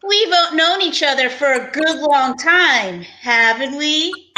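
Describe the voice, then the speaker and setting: A woman playing a slow-talking male police inspector, a coaxing and theatrical suitor in an old-time radio show. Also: sits high, animated, noisy background.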